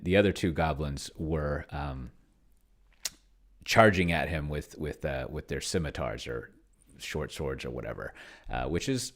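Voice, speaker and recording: A man giving casual, rambling screen-share commentary as a solo tabletop gamer, thinking aloud and often trailing off mid-thought. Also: sits low. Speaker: solo tabletop role-player, video host.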